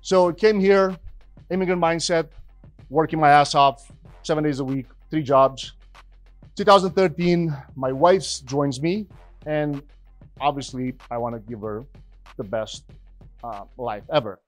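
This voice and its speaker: A man, giving an energetic, conversational stage talk as a business speaker. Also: on the high side, unhurried, long pauses, no echo, faint music behind. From Romania. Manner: energetic, conversational, rising emphasis. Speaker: podcast host and business speaker. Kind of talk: stage presentation to a live audience.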